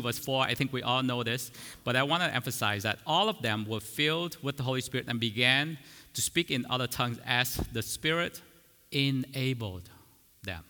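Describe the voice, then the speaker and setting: Man, Vietnamese as first language, teaching a church sermon; earnest and explanatory, bright voice carried in a reverberant church hall. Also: mid-range voice. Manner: earnest, explanatory, with frequent restarts and fillers. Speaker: preacher.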